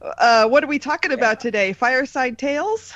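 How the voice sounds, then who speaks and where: A woman's voice: sits high, animated, brisk, fairly bright tone, dull audio. A woman in her forties from Arizona with an American accent, casually interviewing as a radio show host. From Arizona, United States. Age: 40s.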